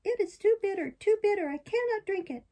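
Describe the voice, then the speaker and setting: A woman's voice: very high voice, lively intonation, brisk, dark tone, pitched above the performer's own voice. A woman voicing a stubborn wooden puppet boy in a storybook, childish and thin, with plaintive protests. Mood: complaining.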